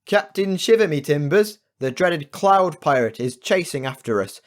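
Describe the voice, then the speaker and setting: A man's voice: on the high side, animated, moderate pace, pitched above the performer's own voice, close to an everyday voice. A man voicing an urgent, heroic ship's captain in a children's audiobook, with hearty, hailing calls. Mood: urgent, rallying.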